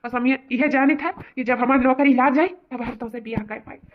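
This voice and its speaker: Woman doing a wheedling young loverboy, high pitch, eastern Uttar Pradesh accent, melodramatic, repetitive, cartoon comedy, tinny phone-like sound. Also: a little fast, a little hiss or hum, close to an everyday voice. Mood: anguished. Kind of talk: cartoon family comedy acting. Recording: tinny, phone-like narrow audio.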